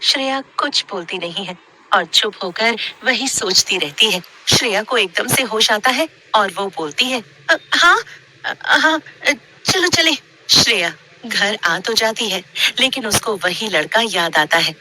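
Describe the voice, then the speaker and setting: A woman storyteller reads a scripted horror tale. Her voice sits at a middle pitch with a very bright, intense edge. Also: a little slow, slight background noise, low-quality recording.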